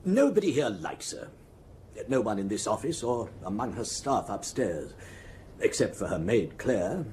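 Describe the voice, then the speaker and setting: A prim head clerk in a radio drama, deferential and careful. His lowish voice rises and dips sharply as he hesitates and restarts in formal, precise phrases. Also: big pitch swings, a little slow, a little mellow, a little hiss or hum. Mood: confiding, disapproving. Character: fussy, discreet male head clerk, nervously deferential.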